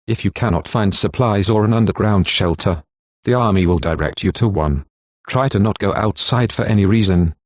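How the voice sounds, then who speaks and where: A synthetic text-to-speech man's voice reading a scripted emergency broadcast announcement in a formal, measured, impassive manner. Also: on the low side, no echo.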